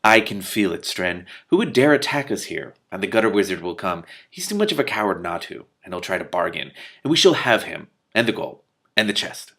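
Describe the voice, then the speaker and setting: A man's voice: deep voice, big pitch swings, fairly quick, creaky, fairly bright tone, heavily acted. A man voicing a fretful, aggressive thief lieutenant from a storybook, speaking in a threatening manner. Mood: fretful, indignant.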